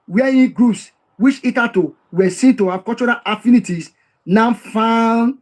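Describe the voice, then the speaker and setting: A man reading passages from a history text and explaining them, repeating key phrases for emphasis. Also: high voice. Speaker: history commentator.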